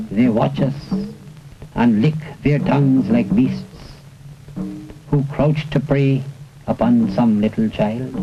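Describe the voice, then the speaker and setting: A man recites political poetry slowly and solemnly, pausing deliberately between short phrases. His delivery is dramatic and declamatory, set to prominent music. The sound is muffled, like an old seventies film soundtrack. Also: medium pitch.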